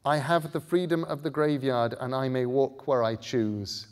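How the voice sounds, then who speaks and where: A man voicing a calm, brave young boy, polite and matter-of-fact, in a storybook reading. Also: medium pitch, normal speed, close to an everyday voice. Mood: steady. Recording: echoing hall through a PA.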